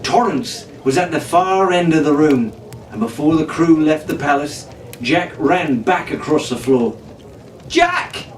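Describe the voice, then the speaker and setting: A man reading a storybook adventure in a dramatic, theatrical, animated way. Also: a little high, a little slow. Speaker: audiobook narrator reading in character as a pirate captain. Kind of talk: dramatic scripted storybook narration. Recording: roomy, distant, noisy home-style recording.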